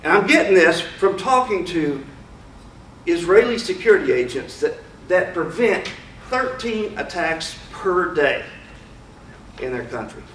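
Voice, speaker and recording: A man's voice: sits high, expressive, a little slow, fairly bright tone, slight background noise. Man lecturing in an echoey hall. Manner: conversational, asks many rhetorical questions; opinionated, speaks frankly. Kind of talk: informal lecture to an audience. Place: lecture room. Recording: roomy hall, mic far off.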